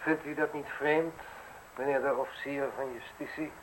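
A man's voice: medium pitch, fairly even intonation, moderate pace, natural voice, rough recording. An actor in a radio play voicing a suspicious, wealthy man who questions tersely and with dry irony. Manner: terse, probing, drily ironic. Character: suspicious, curt, wealthy male villa owner, coolly sardonic. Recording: hissy old radio play recording.

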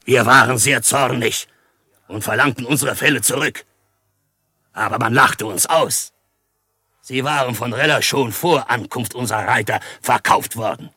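An actor playing a proud, solemn chief held prisoner in a western radio drama, speaking formally and with measured gravity. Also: male voice, mid-range voice, sharp tone, breathy edge, no echo, clean studio sound. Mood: angry, then grave, bitter. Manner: formal, measured, speaks of himself in third person.

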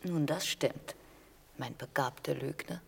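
A woman playing a wry elderly mother in a radio play, gently correcting her storytelling son in a husky, breathy voice. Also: very deep voice, measured pace, exaggerated voice. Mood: correcting, fond.

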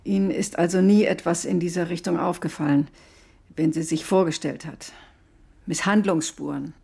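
A woman's voice: fairly low pitch, steady tone, moderate pace, gravelly, darkish tone, slightly rough. An actress playing an earnest, defensive doctor who tells her own story in a radio drama. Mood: concerned. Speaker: doctor, first-person narrator. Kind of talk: radio drama narration and dialogue.

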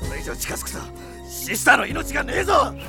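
An actor playing a brutish, hot-tempered, menacing bandit chief who talks in rough, coarse shouts in an anime-style audio drama. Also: male voice, very high-pitched, huge pitch swings, fast talker, loud music behind the voice, exaggerated voice. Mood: barking orders.

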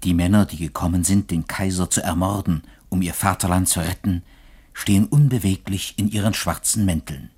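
A man's voice: deep voice, moderate pace, a little mellow, slightly rough. A man reading scripted narration for a radio play.

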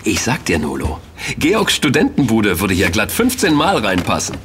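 An actor playing an affable, inquisitive young student in an audio drama, talking things through conversationally. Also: male voice, lowish voice, huge pitch swings, normal speed, noisy background. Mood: easygoing. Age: young adult. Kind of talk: German audio drama dialogue.

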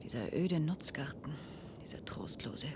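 A woman's voice: a bass voice, very animated, a little slow, whispered, exaggerated voice. An actress playing an anxious, earnest adult daughter pleading for her father in a science-fiction radio play. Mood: hushed, wistful. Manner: pleading, earnest, often hushed.

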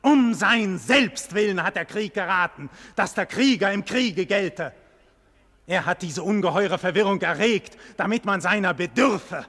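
A man's voice: sits high, animated, measured pace, a bit bright, full character voice. An actor playing a proud, defiant condemned nobleman, indignant and bitter, declaiming in formal theatrical style in a classic German radio play. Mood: bitter, accusing. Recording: long reverberant hall, radio drama production.

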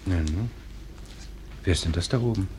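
Man acting small-town father, middle-aged, low voice, genial, fatherly, radio drama, faint background noise. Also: moderate pace, a little mellow, lightly acted. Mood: gentle, fatherly. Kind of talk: German radio play acting.

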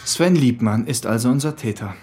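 Schauspieler als trockener, selbstsicherer Kommissar im Hörspiel. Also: male voice, mid-range voice, normal speed, heavy background noise, natural voice. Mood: dry, knowing. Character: wry, self-assured male police detective. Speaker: police detective. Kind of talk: German radio crime drama acting.

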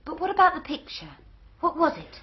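An actress playing an excitable, questioning female relative in a radio mystery drama. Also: very high-pitched, a little fast. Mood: shocked, agitated. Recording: dull band-limited radio drama recording.

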